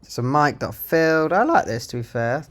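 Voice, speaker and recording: A man's voice: on the high side, very animated, normal speed, fairly bright tone. A man narrating his own coding work as a developer, thinking aloud in an informal, half-muttered way. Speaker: software developer presenting own project.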